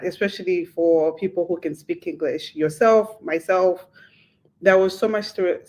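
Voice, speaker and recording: A woman's voice: on the low side, darkish tone, low-quality recording. A woman with a Zambian accent, a podcast host speaking as a guest on a panel discussion, explaining her points earnestly.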